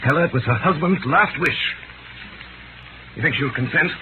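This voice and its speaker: A man's voice: a little high, moderate pace, phone-quality sound, close to an everyday voice. A man acting in a 1930s radio drama as an earnest Englishman resolved on self-sacrifice, speaking in a commanding, solemn, theatrical way. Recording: scratchy, band-limited 1938 radio recording.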